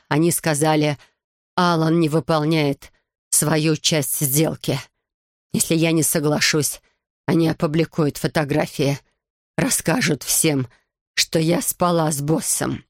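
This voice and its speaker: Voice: mid-range voice, lively intonation, fairly quick, no echo, clean studio sound, natural voice. A narrator voicing a defiant, cornered female agent in a dramatic audiobook thriller. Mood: weak, confessing. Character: defiant, cornered woman agent, later gasping and dying.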